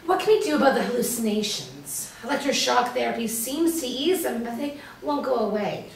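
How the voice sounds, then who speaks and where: A woman's voice: on the high side, big pitch swings, brisk, gravelly. A woman reading the part of a spunky young woman psychiatrist at a table read, with a light, playful touch. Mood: concerned. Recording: echoey room, distant mic.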